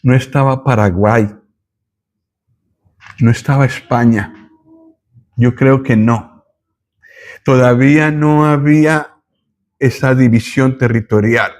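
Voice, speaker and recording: A man teaches from the Bible in a slow, unhurried way. He speaks at a mid pitch and stops to correct himself as he explains. His carries through his careful, reflective delivery. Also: steady tone, darkish tone. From Colombia. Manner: slow, thoughtful, with pauses and self-corrections.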